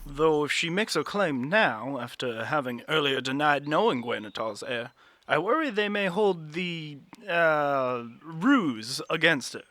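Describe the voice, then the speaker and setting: An actor playing an earnest young political advisor in a fantasy audio drama, speaking formally and with deference. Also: male voice, a little high, expressive, sharp tone, no room sound. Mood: worried, cautious. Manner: formal, measured, deferential archaic phrasing.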